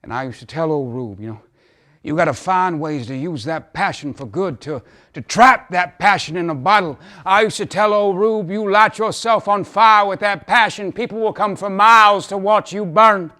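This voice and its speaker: A man acting a troubled, folksy father who pleads earnestly in a theatrical dramatic monologue, halting at times and impassioned at others. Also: mid-range voice, animated, natural voice. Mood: rueful, then fervent.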